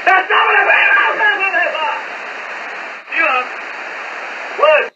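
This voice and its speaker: Over a noisy, muffled and distant shortwave broadcast, a man delivers a fiery holiness sermon. He screams at an extremely high pitch, then drops abruptly into talk and climbs back into shouted exhortation. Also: big pitch swings, takes it slowly. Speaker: preacher. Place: heard from a shortwave receiver's speaker.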